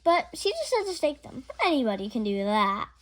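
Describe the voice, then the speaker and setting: A woman's voice: high voice, big pitch swings, a little fast. A woman voicing a cheerful, literal-minded housemaid in a children's storybook, confident, folksy and eager. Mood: busy, cheerful.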